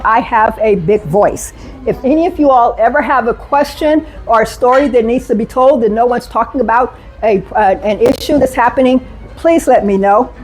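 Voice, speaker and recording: A woman speaks from the front of a conference room, her voice pitched a little high. She talks with energy and keeps the audience engaged, often pausing to put questions to the room. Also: low-quality recording. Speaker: safety speaker. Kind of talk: live conference talk to an audience.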